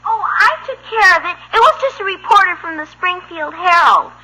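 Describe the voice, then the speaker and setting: An actress playing a cheeky, whiny little kid sister in a 1950s radio sitcom. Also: female voice, very high voice, animated, normal speed. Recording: very noisy, crackly old radio recording.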